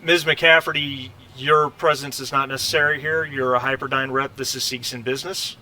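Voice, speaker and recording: A brusque, officious company administrator acted out by a man in a sci-fi tabletop game. The voice is bright and mid-pitched, curt and dismissive, with slight noise behind it. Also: fairly even intonation, moderate pace, poor audio.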